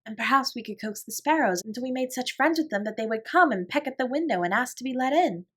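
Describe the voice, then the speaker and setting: A woman doing a dreamy, soft-spoken young girl. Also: fairly high pitch, animated, fast talker, breathy edge, voice pushed up. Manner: soft, wistful, coaxing.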